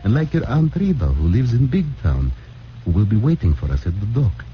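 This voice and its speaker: A man's voice: fairly low pitch, sing-song, brisk. A man playing a tender, reassuring refugee father, speaking formally in a 1940s radio drama. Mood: solemn, hopeful. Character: gentle, reassuring refugee father, former newspaper editor. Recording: muffled, faintly noisy old radio recording.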